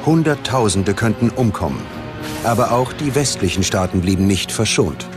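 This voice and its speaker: A man's voice: fairly low pitch, lively intonation, moderate pace. A man narrating a scripted documentary in a dramatic, ominous manner. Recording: loud music behind the voice. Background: music.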